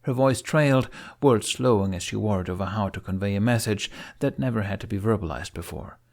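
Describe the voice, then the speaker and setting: A man's voice: on the low side, fairly quick. A man reading scripted fiction aloud as an audiobook narrator.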